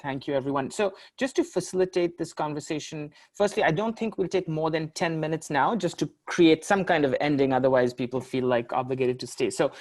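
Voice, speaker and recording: A man's voice: fairly high pitch, a little fast, hardly any pauses, darkish tone. A man moderating a webinar over a video call, polite and facilitating, with frequent fillers. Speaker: webinar host.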